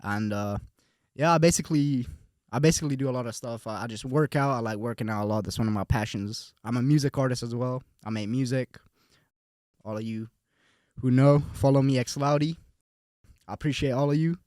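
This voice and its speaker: A man's voice: medium pitch, a little fast, a little mellow, dry recording, clean studio sound. A young man from Finland talking as a podcast guest in a laid-back, casual way, often repeating words as he goes. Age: young adult. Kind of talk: casual conversation.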